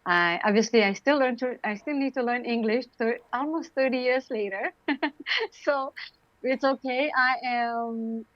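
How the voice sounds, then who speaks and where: A woman from Romania in her late forties with a Romanian accent, chatting as an interview guest, warm and full of fillers and laughs. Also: on the high side, measured pace, dry recording, lo-fi sound. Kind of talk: casual interview talk.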